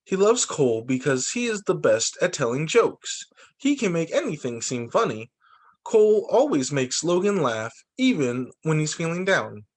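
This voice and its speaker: A man who teaches young children, reading picture books aloud in an expressive, sing-song storytime delivery. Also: a little high, moderate pace. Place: remote video call story session.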